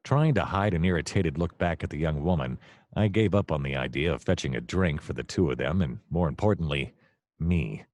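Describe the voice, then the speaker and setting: Man playing deadpan, very low-voiced noir detective. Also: fairly quick, no echo. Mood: deadpan, wry. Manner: deadpan, long-winded. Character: wry, easily distracted male private detective narrating his case. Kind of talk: comic noir first-person narration.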